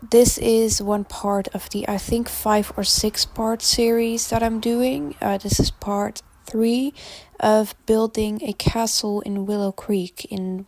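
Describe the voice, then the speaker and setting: Dutch teen girl chatting, lots of ums, kinda gravelly, dry phone audio. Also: mid-range voice, darkish tone, no room sound. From Netherlands. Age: teens. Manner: rambling and chatty, with frequent ums. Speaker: gaming channel creator. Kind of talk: casual voice-over chat over a speed build. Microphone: phone held in hand.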